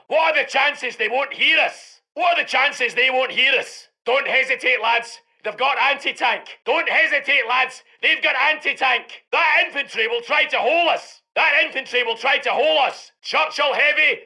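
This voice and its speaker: Gruff, combative Scottish tank commander for a war game; high-pitched for a male, gravelly and intense. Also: sharp tone, exaggerated voice. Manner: barking, emphatic military commands. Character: gruff, aggressive Second World War British tank commander. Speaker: game voice actor. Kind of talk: video game unit voice lines.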